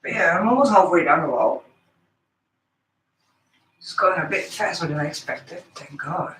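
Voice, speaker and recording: Dutch-accented female streamer, bright voice, casual painting chatter, echoey room. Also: lowish voice, lively intonation, normal speed, far from the mic, slight background noise. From Netherlands. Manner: chatty, thinks aloud while working, answers viewers. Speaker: live-stream host. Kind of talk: casual live-stream chat while painting.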